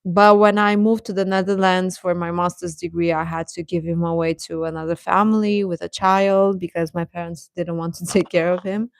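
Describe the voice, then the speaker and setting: A woman's voice: lowish voice, level tone, moderate pace, vocal fry, few pauses, no room sound. A young adult woman hosting a live stream, chatting informally with her viewers and often chuckling as she talks.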